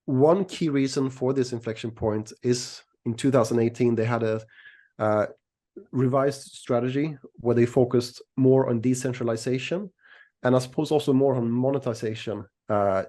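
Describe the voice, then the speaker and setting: A middle-aged man from Sweden explaining things as an interview guest, in a measured, slightly hesitant conversational way, often repeating words. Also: medium pitch, warm tone. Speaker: interview guest, equities analyst and newsletter writer.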